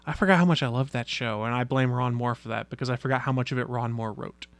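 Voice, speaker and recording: A man's voice: mid-range voice, fairly even intonation, fast, vocal fry, dry recording. A man hosting a geeky podcast, chatting casually and laughing easily. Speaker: podcast host.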